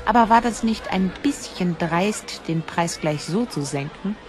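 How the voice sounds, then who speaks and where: Woman as curious companion, lively intonation. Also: lowish voice, measured pace, music in the background. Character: curious, questioning female companion of adventurers. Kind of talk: German fantasy audio drama acting.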